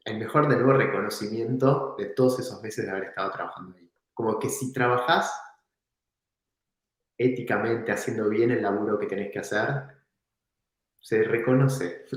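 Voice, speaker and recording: Argentine-sounding man in a very echoey room. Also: mid-range voice. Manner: relaxed, reflective, laughs now and then. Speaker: entrepreneur guest. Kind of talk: casual storytelling in an interview.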